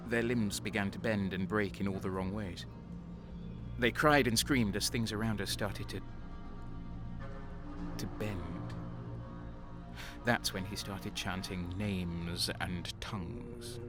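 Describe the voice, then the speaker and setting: Man acting a slow, brooding, low-voiced soldier. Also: music in the background. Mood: haunted. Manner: slow, halting, sombre, bitter. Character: brooding, cynical traitor guardsman recounting dark deeds. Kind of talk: dramatic first-person narration and audio drama acting.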